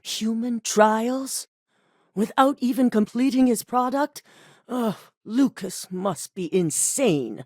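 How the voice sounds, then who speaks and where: A cold corporate boss. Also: female voice, lowish voice, no echo, studio quality. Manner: curt, authoritative, clipped. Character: cold, exacting female corporate executive. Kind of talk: video game voice acting.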